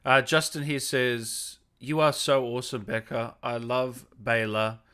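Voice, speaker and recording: A man's voice: mid-range voice, level tone, measured pace, sharp tone, smooth. A man hosting a casual, conversational gaming interview, informal in his questions and as he reads from viewers. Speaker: interviewer, gaming stream host. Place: remote video call.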